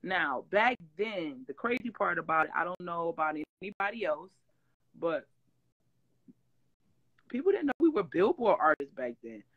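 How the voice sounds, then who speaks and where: Rough-voiced woman, animated. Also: on the low side, normal speed, long pauses, muffled recording. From Detroit. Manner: animated storytelling, loud swings, laughs. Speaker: interview guest, former reality-show singer. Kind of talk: casual chat. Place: home room with green wall backdrop, video call.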